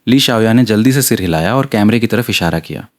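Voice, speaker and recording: A man's voice: fairly low pitch, animated, fast talker. A man reading a scripted story aloud as an audiobook narrator.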